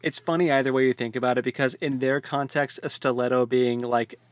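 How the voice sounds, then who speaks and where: Male co-host, mid-pitched voice, very dry close recording, informal and wry in book talk. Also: steady tone, brisk, no room sound. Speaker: co-host of a book discussion show. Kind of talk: casual chat, book discussion.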